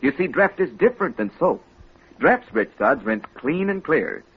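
American guy doing a 40s radio ad, husky, really animated, muffled old recording. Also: on the high side, normal speed. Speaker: commercial announcer. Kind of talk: 1940s radio advertising pitch.

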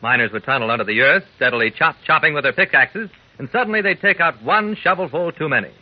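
Male 1940s radio announcer, earnest and folksy, slightly high husky voice with lively emphasis. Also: fast. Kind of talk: 1940s radio public-service talk to young listeners. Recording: muffled old radio recording.

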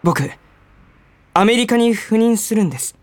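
A woman's voice: on the high side, lively intonation, unhurried, darkish tone, no echo. A woman acting in a radio drama as an earnest young male junior coworker, polite and boyish, with a teasing side. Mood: serious, sincere.

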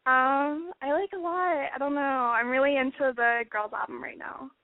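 Young woman caller, hesitant and mumbly, high voice, phone-line sound. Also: moderate pace, no room sound. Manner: hesitant mumbling with many fillers.

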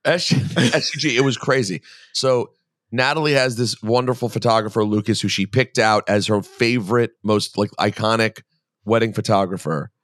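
A man's voice: lowish voice, normal speed, a bit bright, dry recording, clean studio sound. A middle-aged man hosting a podcast, telling a rambling story in casual chat, often cutting himself off and laughing. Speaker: podcast host.

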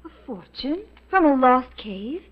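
A fretful wife in an old radio thriller, her voice high and urgent with unease. The vintage recording is muffled. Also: very animated, measured pace, exaggerated voice. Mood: uneasy. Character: anxious, fretful wife torn by a secret affair. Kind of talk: 1940s radio drama acting.

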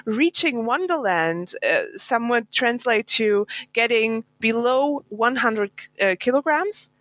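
A woman from Germany speaking English as a podcast guest, slow and hesitant with many ums and frequent giggles. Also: on the high side, animated, fairly bright tone, no room sound. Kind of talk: casual podcast chat.

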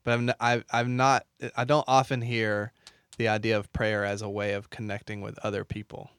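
Smooth-voiced male interviewer. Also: on the low side, flat delivery, moderate pace, a bit bright, no echo, studio quality. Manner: curious, probing, restarting questions. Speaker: interviewer host. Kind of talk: conversational interview.

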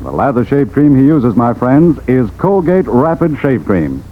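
Animated American 1940s radio pitchman. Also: a little slow, slight background noise. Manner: punchy, emphatic salesman delivery. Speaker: announcer reading commercials. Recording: old 1940s radio, narrow band.

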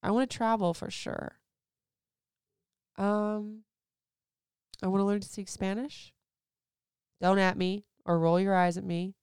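A woman's voice: medium pitch, level tone, a little slow, long pauses, darkish tone, smooth, dry recording, studio quality. A woman in her thirties from Florida, chatting candidly as a host answering listener questions, rambling with lots of "like"s. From Florida, USA. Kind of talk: casual chat.

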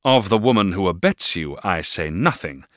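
A man's voice: low-pitched, expressive, normal speed, fairly bright tone, no echo, studio quality. A man voicing a stern, domineering middle-aged stepfather who speaks in a grave, clipped, commanding way in an audiobook reading of a classic novel.

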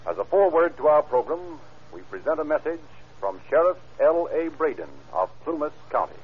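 A man announcing in a formal, emphatic 1930s radio style, delivering scripted narration and sponsor pitches. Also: very animated, fairly quick, husky. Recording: muffled, scratchy 1930s radio recording.

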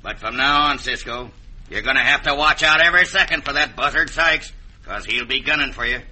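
An actor playing a blunt, wary Old West man in a radio western, with a gruff American western drawl. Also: mid-range voice, fairly quick, sharp tone. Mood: frustrated, warning. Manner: folksy, blunt frontier drawl.

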